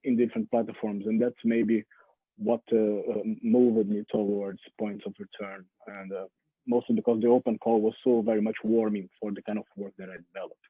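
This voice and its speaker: A man from Brazil, a guest artist on a video-call panel, speaking haltingly with many fillers and restarts. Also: on the low side, little pitch movement, slightly rough, dry recording. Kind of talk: panel discussion. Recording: muffled phone-like line, dry.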